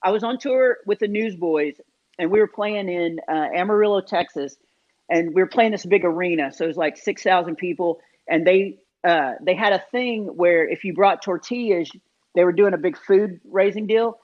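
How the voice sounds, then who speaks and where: A man's voice: high voice, normal speed. Man telling funny stories, lo-fi. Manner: animated, self-deprecating, many fillers. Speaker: comedian guest. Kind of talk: casual storytelling in an interview. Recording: dull lo-fi sound.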